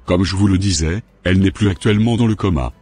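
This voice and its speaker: A man voicing a matter-of-fact hospital doctor in a cartoon, with a husky voice and measured delivery. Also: low voice, warm tone. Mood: calm, frank. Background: faint music.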